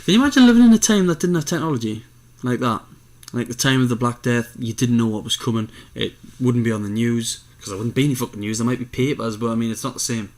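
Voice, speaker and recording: A man's voice: mid-range voice, quick pace, darkish tone. A man co-hosting a podcast in casual chat, musing and asking questions with the odd swear word. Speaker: podcast co-host.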